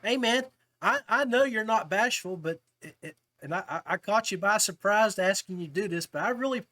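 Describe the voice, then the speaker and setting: Man, very high voice, middle-aged, chummy podcast host, folksy casual interviewing. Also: lively intonation, fairly quick, pushed and bright.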